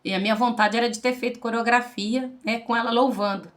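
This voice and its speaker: A woman's voice: a little high, fairly even intonation, brisk, a bit bright. A Brazilian woman in her forties, a pastor, giving an earnest religious testimony to the camera and addressing listeners as brothers and sisters. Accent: Brazilian Portuguese. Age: 40s.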